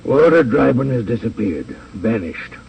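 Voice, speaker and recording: A man's voice: medium pitch, sing-song, a little slow, gravelly, lo-fi sound. Guarded businessman, distant vintage radio. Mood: rueful. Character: proud, reluctant swindled American businessman. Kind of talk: 1950 American radio crime drama acting. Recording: hissy old radio transcription, distant.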